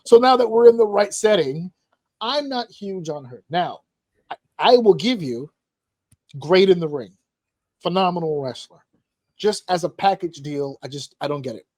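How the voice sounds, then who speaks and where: High-voiced joking male host. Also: lively intonation, normal speed, long pauses, a little mellow, no room sound. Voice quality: crude, joking banter. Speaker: podcast host. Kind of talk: casual panel chat.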